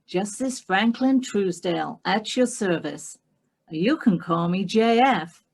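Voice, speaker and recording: A tall American cowboy, played by a woman reading aloud speaks in a deep, slow drawl. He stays calm and sounds mildly amused, with a soft, mellow tone. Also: vocal fry, husky, heavily acted.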